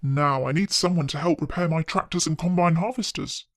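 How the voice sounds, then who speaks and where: Woman putting on a low-voiced, practical farmer man. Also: fairly quick, background noise. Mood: businesslike. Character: practical male farmer handing out jobs.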